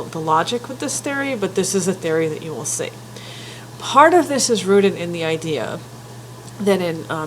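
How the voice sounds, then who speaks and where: A woman with a stuffy head cold giving an informal spoken lecture, careful and candid, often pausing with ums and restarting her sentences. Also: on the low side, a little hiss or hum, low-quality recording. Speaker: folklore writer and teacher.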